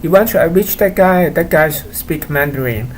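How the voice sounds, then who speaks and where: A man whose first language is Cantonese, talking casually to his viewers in a halting, complaining way, with many fillers and restarts. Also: on the high side, slight background noise, low-quality recording. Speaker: vlogger. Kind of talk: casual monologue to camera.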